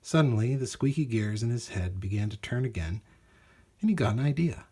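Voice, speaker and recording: Lively, husky man reading a storybook. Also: medium pitch, a little fast. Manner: expressive, dramatic emphasis. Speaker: storybook reader. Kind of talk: animated scripted storybook narration for children.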